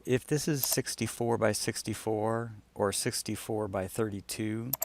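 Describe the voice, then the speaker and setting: A man's voice: fairly low pitch, fairly even intonation, a little slow, vocal fry, a little hiss or hum. A man talking casually through an on-screen demonstration, informal and thinking aloud as he goes. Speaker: client explaining a design project. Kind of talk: casual screen-share walkthrough.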